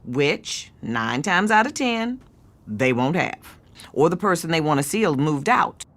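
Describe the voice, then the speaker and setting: An actress playing a protective, bossy middle-aged American aunt who works as a supervisor, in a naturalistic audio drama, coaching and cajoling. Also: female voice, sits low, sing-song, measured pace, fairly bright tone, exaggerated voice. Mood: coaxing, approving.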